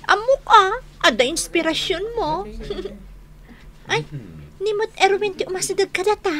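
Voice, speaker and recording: A woman's voice: extremely high pitch, unhurried, a crowd in the background. A woman playing a perky, teasing young student friend in a radio drama, with a Filipino accent. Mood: playful, excited. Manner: teasing, playful.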